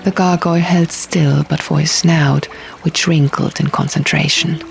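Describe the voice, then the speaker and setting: A woman reading fiction as a dramatic audiobook narration, with measured, deliberate phrasing. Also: low-pitched, steady tone, vocal fry. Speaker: audiobook reader. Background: music.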